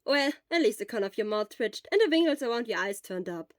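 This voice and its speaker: A woman's voice: on the high side, animated, fast talker, no echo, clean studio sound, lightly acted. A woman playing a cheerful, teasing young man, an exuberant best friend in an audio story.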